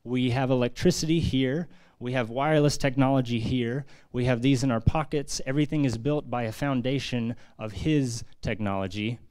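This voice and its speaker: A man's voice: mid-range voice, normal speed, few pauses, a little mellow, clear, resonant tone. An American man giving a casual, earnest talk at a book launch in a press room, with frequent fillers. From United States. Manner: earnest, conversational with many fillers. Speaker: author presenting his book. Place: press centre conference room.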